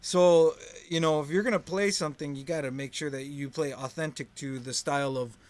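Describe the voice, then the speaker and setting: A man hosting a relaxed chat about keyboard playing, chatty and full of "you know what I mean". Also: a little high, fairly quick. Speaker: host, keyboard player. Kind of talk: casual chat about music.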